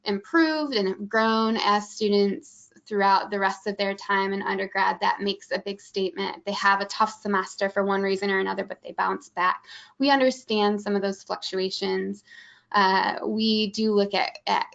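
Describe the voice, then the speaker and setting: A woman's voice: medium pitch, steady tone, moderate pace, gravelly, a bit bright. A woman with a Michigan Midwestern accent presenting admissions information in a webinar, informative, with many ums and restarts. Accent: Midwestern American (Michigan). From Michigan, USA. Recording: dull lo-fi webinar audio.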